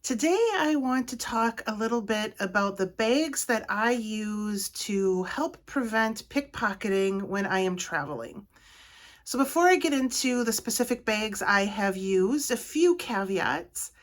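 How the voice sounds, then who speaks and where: A woman's voice: medium pitch, lively intonation, unhurried. A middle-aged woman from Wisconsin with a Wisconsin accent, giving practical travel advice to camera in a plainspoken, orderly way. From Wisconsin, United States. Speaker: travel vlogger. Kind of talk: casual advice talk to camera.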